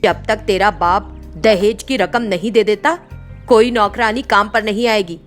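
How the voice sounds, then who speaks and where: A woman's voice: mid-range voice, fairly even intonation, unhurried, soft background music, lightly acted. A woman voicing a domineering, wicked middle-aged mother-in-law who speaks in a curt, accusing, commanding way. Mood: harsh, commanding.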